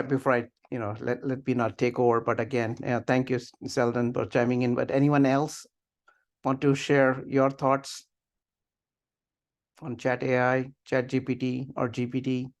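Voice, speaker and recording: A middle-aged man leading an informal online class discussion, halting as he repeats and rephrases himself. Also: medium pitch, fairly even intonation. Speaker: webinar instructor. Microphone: video call computer mic.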